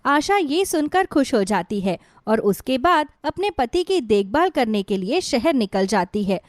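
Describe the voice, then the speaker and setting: A woman narrates a scripted bedtime story for children. Her voice sits fairly high. The recording is dry, with no audible room. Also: very animated, measured pace, no echo.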